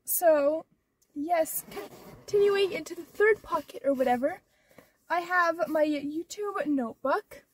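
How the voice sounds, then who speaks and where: A woman's voice: very high voice, unhurried, distant mic. A teenage girl chatting casually in her car, rambling with lots of fillers, her nose stuffed up from allergies. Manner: rambling, many "like" and "or whatever" fillers. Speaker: vlogger. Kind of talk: casual vlog chat.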